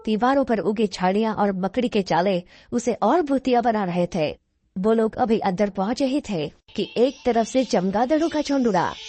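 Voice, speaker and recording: A woman's voice: medium pitch, animated, normal speed, mellow, dry recording. A woman reading scripted narration for a horror cartoon story.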